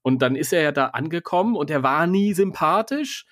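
A man's voice: a little high, animated, normal speed, no room sound, studio quality. A man co-hosting a podcast, talking casually in a colloquial, wry way and giving opinions. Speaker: podcast host.

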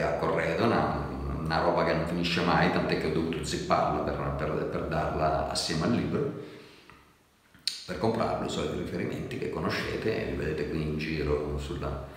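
Middle-aged chef, very low voice, wry talk to camera; booming kitchen reverb. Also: male voice. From Italy. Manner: chatty, wry, rhetorical asides. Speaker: chef and restaurant consultant. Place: indoor kitchen. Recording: very echoey room with long reverb.

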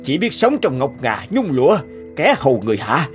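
A man's voice: mid-range voice, big pitch swings, brisk, music in the background, lightly acted. An actor voicing a stern, exasperated middle-aged noble father in a cartoon, with an emphatic, commanding manner. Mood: exasperated, scolding. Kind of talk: Vietnamese cartoon dubbing.

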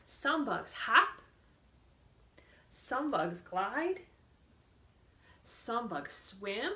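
A woman leading a storytime for young children, reading a picture book expressively and deliberately, drawing out words and asking the children questions. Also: fairly high pitch, sharp tone, a little hiss or hum. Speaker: children's librarian hosting storytime. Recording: echoey room sound.

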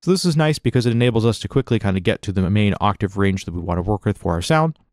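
Guy explaining music software, talks real fast and casual, super dry close-mic sound. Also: fairly low pitch, lively intonation, no room sound. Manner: conversational, explanatory. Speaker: music production tutorial instructor. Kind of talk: casual software tutorial narration.

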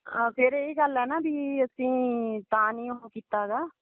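A woman's voice: sits high, unhurried, no room sound. A woman chatting casually and intimately on the phone, politely deferential. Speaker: phone call partner. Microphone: phone call. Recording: thin lo-fi phone line.

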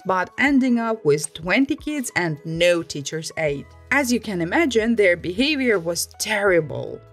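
A woman narrates stories in a scripted storytime style. Her pitch sits in a middle range for a woman but moves up and down in a very lively way. Music plays behind her voice. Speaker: host reading Reddit stories.

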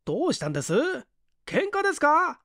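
A man's voice: very high-pitched, huge pitch swings, a little fast, sharp tone, no echo, clean studio sound, pitched above the performer's own voice, heavily acted. A man voicing a nervous, startled taxi driver in a story reading, speaking in alarmed questions.

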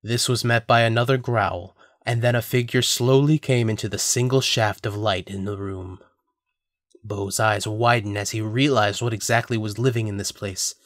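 A man's voice: lowish voice, fairly even intonation, moderate pace, dry recording, studio quality. A man narrating a scripted audio drama as a storyteller.

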